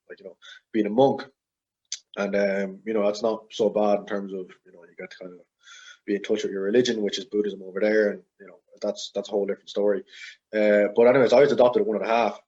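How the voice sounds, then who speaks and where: A man's voice: lowish voice, a little mellow, husky, dull audio. A man, a podcast guest talking casually in a rambling way full of fillers. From Ireland. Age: 20s. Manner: rambling, heavy with fillers and hedges. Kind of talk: casual interview chat.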